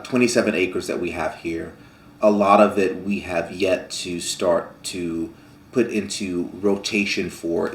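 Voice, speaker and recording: Man, very deep voice, reflective, measured, fillers, echoey room. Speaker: farm vlog co-host. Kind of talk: casual conversation.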